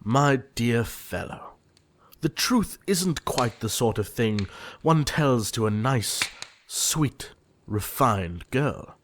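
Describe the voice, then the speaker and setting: A man playing an earnest young gentleman suitor in a period comedy audio play, formal and easily exasperated. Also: mid-range voice, expressive, slow pace, a little hiss or hum. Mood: irritated. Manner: formal, earnest, fussily indignant.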